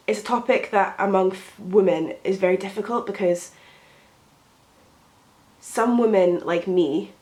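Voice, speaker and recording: Young woman, slightly low voice, sniffly from a cold, rambling chatty vlog talk, lots of ums. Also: darkish tone, rough recording. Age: young adult. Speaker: beauty vlogger. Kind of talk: chatty get ready with me.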